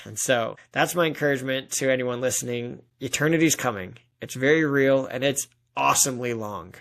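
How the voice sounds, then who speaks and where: A man's voice: mid-range voice, a little fast, vocal fry, fairly bright tone. Earnest man speaking as a podcast guest. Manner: earnest exhortation, talks in analogies and imagined dialogue. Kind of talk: earnest testimony in a podcast interview.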